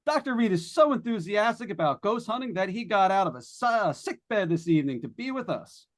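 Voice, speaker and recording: A man's voice: sits high, big pitch swings, fairly quick. An actor playing a breezy, joking male radio host on a ghost hunt, chatty and folksy in old-time radio drama style. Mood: showy, upbeat. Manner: chatty, folksy showman delivery. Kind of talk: 1940s live radio drama acting.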